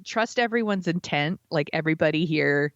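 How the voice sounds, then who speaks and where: The sound is dry and somewhat dull, a lo-fi microphone. An American woman speaks in a lowish voice as a podcast co-host. She chats casually in slangy, informal phrasing. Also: expressive, normal speed, no echo. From United States. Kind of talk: casual chat.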